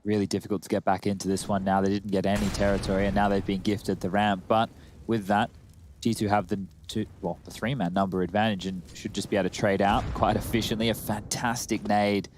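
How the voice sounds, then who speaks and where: A man's voice: lowish voice, flat delivery, brisk, slight background noise. A man giving analytical commentary on an esports match, explaining tactics.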